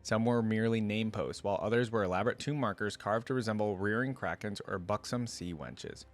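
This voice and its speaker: A man's voice: on the low side, a little fast. A man hosting a reaction video, reading a story aloud and breaking off for casual, slangy commentary.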